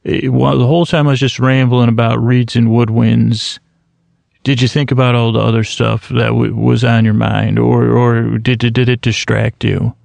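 A man in his thirties hosting a sleep podcast in a slow, meandering bedtime monologue, halting often with restarts and fillers. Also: mid-range voice, level tone, gravelly, darkish tone, no echo. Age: 30s.

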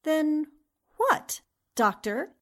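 Woman acting a high-voiced, skeptical lady reporter. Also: big pitch swings, fairly bright tone, full character voice. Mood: alarmed. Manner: brisk-witted, no-nonsense. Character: sharp-witted, skeptical female newspaper reporter. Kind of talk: 1940s radio drama acting.

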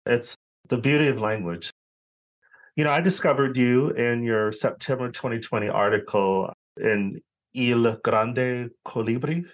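A man's voice: medium pitch, steady tone, unhurried. A man hosting an interview, curious and encouraging toward his guest. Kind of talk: interview conversation.

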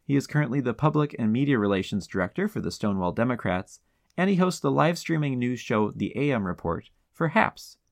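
A man's voice: medium pitch, lively intonation, fairly quick, creaky. A man hosting a podcast, introducing and chatting in an interview style.

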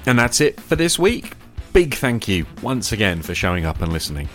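A man's voice: lowish voice, big pitch swings, a little fast, fairly bright tone, soft background music. A man speaking as a podcast host about tabletop roleplaying, addressing the listener directly and encouragingly.

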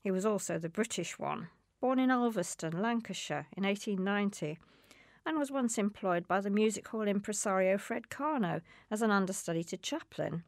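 A woman reads a literary memoir aloud at a measured, storytelling pace. Her voice sits at a middling pitch with plenty of creak, and the recording is close and dry. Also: animated, no echo. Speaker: reader. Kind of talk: scripted literary reading.

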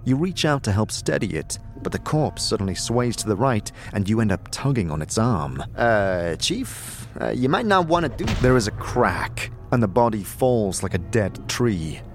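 A man's voice: on the low side, expressive, normal speed, hardly any pauses, faint music behind. A man reading scripted fantasy narration as a storyteller, vividly addressing the listener. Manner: vivid second-person storytelling.

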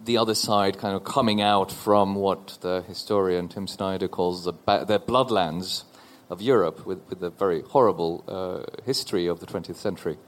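A man in his forties from Norway, a panel guest telling a personal story in a thoughtful, halting way on stage before an audience. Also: low voice, fairly even intonation. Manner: thoughtful, halting with repeated restarts.

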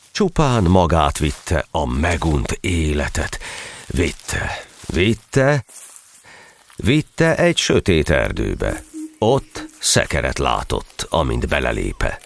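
A man narrating a verse audio drama as a dramatic storyteller, theatrical and expressive. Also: a bass voice, creaky. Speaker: narrator and actor.

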